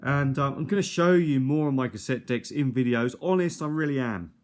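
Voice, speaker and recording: A man's voice: mid-range voice, brisk, darkish tone. A middle-aged man giving an unscripted, casual show-and-tell in a hesitant, rambling, conversational way. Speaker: video host. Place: indoors at a kitchen table. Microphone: handheld or nearby tablet's built-in mic.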